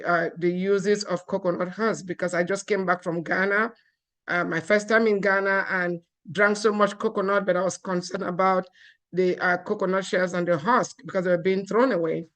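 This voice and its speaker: A woman's voice: fairly low pitch, fairly even intonation, normal speed, fairly bright tone. A woman from Cameroon giving an earnest seminar talk, with frequent fillers and restarts. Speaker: guest speaker.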